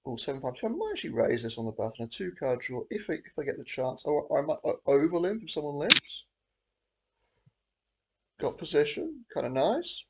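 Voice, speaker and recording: A hoarse man fighting off a cold rambles through his poker hands, thinking aloud in a loose, half-muttered way. His voice is gravelly and crackly at the bottom. A loud, steady hiss of noise sits under the recording. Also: a little high, a little fast. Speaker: host. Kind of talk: casual commentary while playing online poker.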